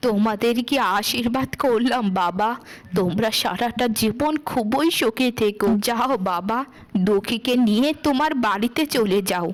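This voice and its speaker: Gentle, humble village mother played by a woman. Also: on the high side, normal speed, lightly acted. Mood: tender blessing. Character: humble, kindly village mother of a grown daughter. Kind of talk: cartoon voice acting for children's moral story.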